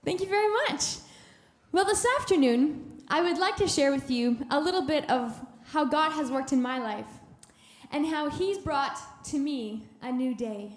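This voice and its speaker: High-voiced, unhurried woman speaker. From Alberta, Canada. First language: French. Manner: chatty, lightly joking. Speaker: guest speaker. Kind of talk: personal testimony talk. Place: large conference hall. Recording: roomy hall with faint music.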